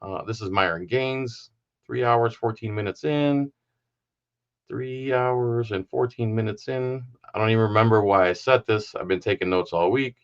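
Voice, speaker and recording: A man's voice: fairly low pitch, moderate pace, clean tone, muffled recording. Indignant rambling podcast host, man. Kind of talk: casual political commentary.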